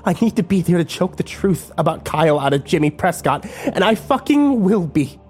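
Male narrator doing a sharp-tongued young woman, high-pitched, furious and defiant. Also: big pitch swings, fairly quick, soft background music. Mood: bitter, steadier. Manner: shouting, swearing, biting.

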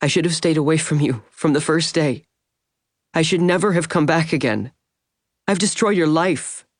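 A gentle, remorseful vampire woman, as a male narrator puts her on in a dry, close audiobook read. Her voice sits clearly higher than the narrator's own and stays soft and pleading. She sounds reassuring and sorrowful. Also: moderate pace, dry recording, lightly acted. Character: tender grieving female vampire, gentle and remorseful. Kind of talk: audiobook character voice.

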